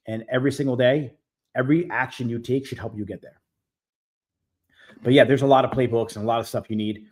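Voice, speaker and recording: Man, forties, live stream host, direct, emphatic, repeats key points, mid pitch. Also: darkish tone. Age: 40s.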